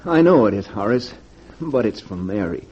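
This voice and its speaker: A man's voice: lowish voice, animated, moderate pace, breathy edge. An actor playing a desperate, broke male locksmith, strained and pleading, in a 1940s radio drama. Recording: dull vintage radio recording with music.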